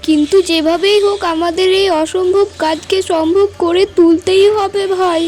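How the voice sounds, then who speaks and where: A woman's voice: very high voice, fairly even intonation, moderate pace, darkish tone, faint music behind. A woman voicing a stubborn, devoted village girl in a cartoon, insistent and earnest. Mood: pleading, determined. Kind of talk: cartoon voice acting, dramatic dialogue.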